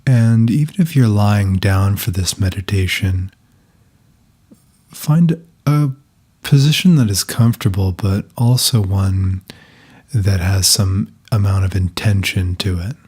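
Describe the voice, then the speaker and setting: A man leading a guided meditation in a soft, calm, breathy voice, giving gentle instructions with long pauses. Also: fairly low pitch.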